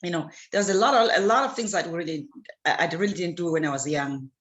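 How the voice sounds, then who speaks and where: A woman from Zimbabwe, an interview guest who answers earnestly and often pauses on fillers and restarts. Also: on the low side, fairly bright tone. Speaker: guest, clean water advocate.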